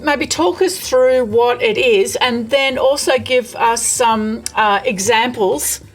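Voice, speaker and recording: Higher-voiced woman hosting an interview. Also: takes it slowly, low-quality recording. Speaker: interview host. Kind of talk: casual video call interview. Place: remote, not at the guest's location.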